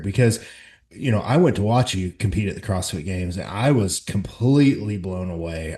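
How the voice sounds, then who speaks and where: A man's voice: fairly low pitch, darkish tone. A man hosting a casual interview, enthusiastic and loose, swearing now and then. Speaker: podcast host.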